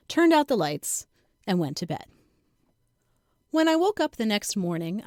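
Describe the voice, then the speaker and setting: A woman reading her own first-person comic story in a conversational, wry, expressive and self-mocking way. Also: a little high, dry recording, studio quality. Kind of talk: scripted first-person comedic narration.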